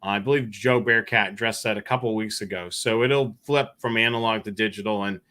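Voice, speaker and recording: Male live show host, bright crisp-edged delivery, conversational and slightly halting. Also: on the low side, steady tone. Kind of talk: casual live stream talk, answering viewer questions.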